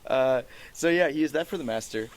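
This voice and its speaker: A man's voice: mid-range voice, brisk, rough recording. A man hosting a casual podcast chat with a blunt, joking manner, recorded outdoors on a porch.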